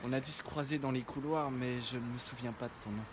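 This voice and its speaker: A man's voice: mid-range voice, fairly even intonation, fast talker, background noise, lightly acted. A man playing a shy teenage boy. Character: shy teenage boy, new in town, forgetful. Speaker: lead character. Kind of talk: fan audio drama acting. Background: music.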